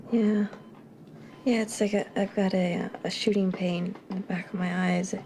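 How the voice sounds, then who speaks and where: An actress playing a hurt, bitter young wife in a TV soap, speaking in a halting, fragile way. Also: female voice, medium pitch, fairly even intonation, warm tone, a little hiss or hum, close to an everyday voice. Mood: pained. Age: young adult. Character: wounded, bitter young wife in a hospital bed. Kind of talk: TV soap opera acting.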